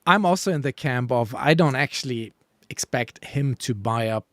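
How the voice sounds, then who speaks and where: Casting: man, mid pitch, easygoing livestream host, voice going hoarse, dry close sound. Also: lively intonation, moderate pace, no room sound. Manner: agreeable, frequent short affirmations. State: losing his voice. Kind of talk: casual livestream hosting.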